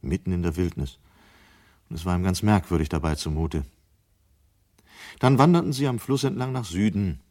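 A man narrating a German radio play in a measured, literary way. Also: low voice, darkish tone, lightly acted.

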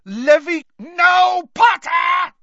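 Guy doing a sneering angry wizard man in an audiobook, shouty and taunting, forceful sharp voice, very dry sound. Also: very high-pitched, very slow, no room sound, highs cut off, pitched above the performer's own voice, heavily acted. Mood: enraged. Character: sneering, furious dark wizard man, adult, contemptuous.

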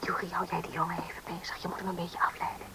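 An actress playing a caring, hospitable mother in a radio drama, expressive and solicitous. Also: fairly low pitch, rapid-fire, in a whisper, lightly acted. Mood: hushed sympathy. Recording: clearly noisy radio play recording.

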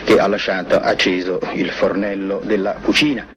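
An old broadcast recording has clear crowd noise behind a male reporter. His low voice delivers news in an unscripted, spoken way. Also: flat delivery, normal speed.

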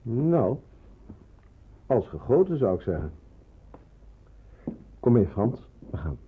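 An actor playing a brisk, fatherly resistance man who gives kind but firm orders in a radio drama, on an old recording. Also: medium pitch, very animated, narrow, tinny audio, slight background noise. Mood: instructing. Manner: brisk, instructing, reassuring.

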